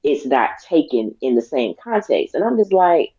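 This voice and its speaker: A raspy-voiced woman co-hosts a casual talk show, making her points deliberately and with emphasis. The recording is dry and has a dull, lo-fi sound. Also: low-pitched, sing-song, mellow, dry recording. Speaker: podcast co-host. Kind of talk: casual discussion.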